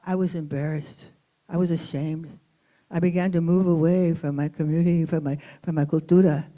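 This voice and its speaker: A woman's voice: deep voice, fairly even intonation, normal speed, dark tone. A woman storyteller from East Los Angeles, with an accent from there, telling a personal story expressively, weaving in Spanish words and translating them.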